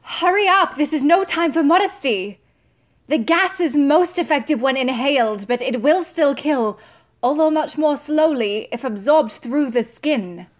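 A woman playing a brisk, self-assured scientist in an audio drama, commanding and matter-of-fact. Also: high voice, expressive. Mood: urgent.

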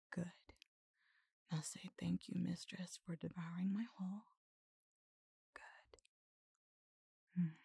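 Low husky woman, bossy and taunting, lots of breathy whispering and moaning, drags every word out slow. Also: no echo. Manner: commanding, taunting, dominant; breathy whispers mixed with moans. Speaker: adult audio performer. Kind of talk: dominant erotic roleplay audio.

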